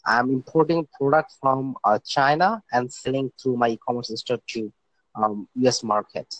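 A man's voice: medium pitch, moderate pace, dry recording, low-quality recording. A young man from Bangladesh, a guest in his twenties speaking casually, hesitant, with many fillers and restarts. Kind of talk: casual interview talk.

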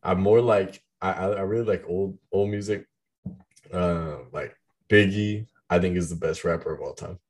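Young man, very low deep voice, loose rambling chat, heavy fillers. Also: moderate pace. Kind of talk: casual chat about music.

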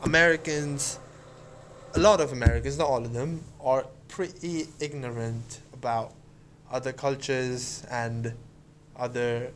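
Young man, halting and hedging speech. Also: mid-range voice, fairly even intonation, a bit bright, a little hiss or hum. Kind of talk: casual chat.

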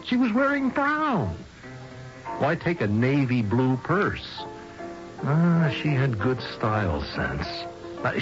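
Excitable radio-drama detective, lively swooping delivery. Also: male voice, medium pitch. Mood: reasoning aloud. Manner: musing, repeats words, self-correcting. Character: sharp, excitable male detective reasoning out a case aloud. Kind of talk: old-time radio drama acting. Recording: dull radio recording with music behind.

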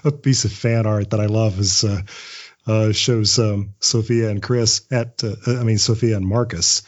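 Husky man in a reflective interview. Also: lowish voice, steady tone, a little slow, dull audio. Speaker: interview guest, audio drama writer and voice actor.

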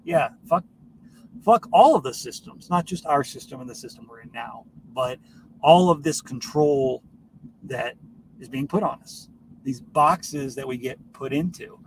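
A man's voice: medium pitch, measured pace. A man hosting a solo podcast in a casual, rambling style, speaking informally and swearing freely. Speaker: podcast host. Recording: noisy, distant microphone.

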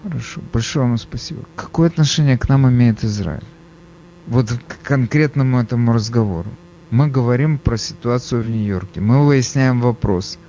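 A man hosting a call-in radio show, briskly steering callers and cutting them off firmly. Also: mid-range voice, warm tone, slight background noise. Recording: dull lo-fi radio audio.

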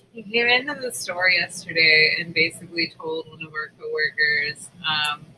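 A woman chatting casually as a podcast co-host, retelling stories in an informal, animated way with acted-out quotes. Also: low-pitched, measured pace, slight background noise, poor audio. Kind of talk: casual chat, storytelling.

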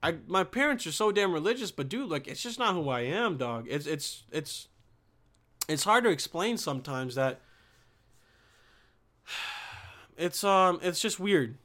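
A man's voice: on the high side, sing-song, measured pace, long pauses, fairly bright tone. A young man from Bosnia talking in a casual, rambling monologue, swearing often and restarting his sentences. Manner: profane, restarts sentences, slangy.

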